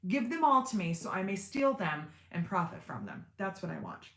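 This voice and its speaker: A woman hosting a casual sewing livestream, reading viewer comments and chatting with lots of fillers and self-deprecating asides, with a fairly deep everyday voice. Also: very animated, moderate pace, vocal fry, fairly bright tone, roomy sound. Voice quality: deep speaking voice in everyday talk. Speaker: sewing channel host on a livestream.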